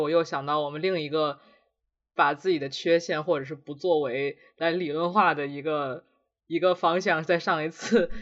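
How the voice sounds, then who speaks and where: A man's voice: on the high side, fairly bright tone. A man co-hosting a podcast in casual chat, with a self-mocking, giggly manner. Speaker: podcast co-host. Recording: slightly dull, lo-fi podcast recording.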